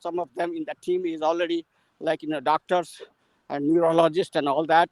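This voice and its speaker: A man's voice: on the high side, no echo, poor audio. A man with an Indian English accent, a native Maithili speaker, casually telling a long story with many fillers and restarts while he walks. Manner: unhurried and rambling, with frequent fillers and restarts while walking. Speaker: guest mentor in an English practice session.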